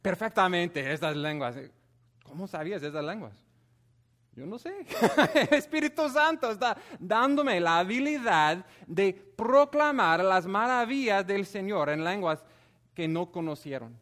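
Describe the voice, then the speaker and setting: A man with a somewhat high voice teaches from the Bible in a relaxed sermon. His delivery is animated and conversational, and he often hesitates and restarts while explaining. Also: a little slow. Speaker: preacher.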